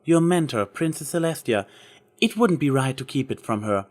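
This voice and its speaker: A man's voice: medium pitch, expressive, moderate pace, pitched above the performer's own voice, lightly acted. A man voicing a proud, theatrical yet tender female unicorn magician in a story reading. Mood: gentle.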